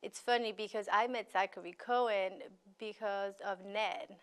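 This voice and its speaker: A woman's voice: mid-range voice, steady tone, moderate pace, a bit bright, clean tone. A woman in her twenties from Ecuador, answering interview questions conversationally as a guest. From Ecuador, moved to the US at twelve. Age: 20s. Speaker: interview guest, committee member.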